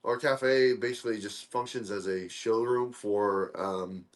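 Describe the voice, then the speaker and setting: A young man from Korea, a guest in a casual interview, talking in a relaxed, friendly way with lots of "uh" fillers. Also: lowish voice, measured pace. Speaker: guest, coffee dripper co-founder.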